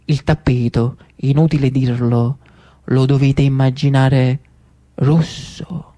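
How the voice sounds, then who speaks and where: A man's voice: mid-range voice, steady tone, takes it slowly, warm tone. A man giving dramatic stage narration in a declamatory, ironic manner. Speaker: stage storyteller.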